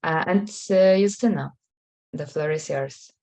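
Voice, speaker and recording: A woman's voice: deep voice, measured pace, gravelly, darkish tone. A woman moderating a webinar over a video call with polite hosting remarks.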